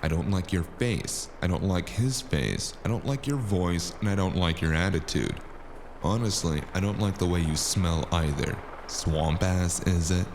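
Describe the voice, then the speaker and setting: An actor playing a cynical, deadpan mercenary in a noir audio drama, with a deep gravelly voice. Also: male voice, unhurried, noisy background. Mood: contemptuous. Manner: sardonic, deadpan. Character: cynical, sarcastic mercenary man narrating his own noir tale.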